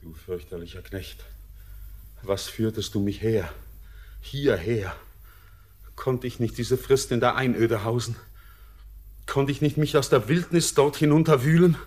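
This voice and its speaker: A man's voice: mid-range voice, a little slow, long pauses, darkish tone, natural voice. Classic radio drama: male actor as haunted young man, mid-range voice, bitter, impassioned stage delivery. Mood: anguished, accusing. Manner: impassioned, declamatory.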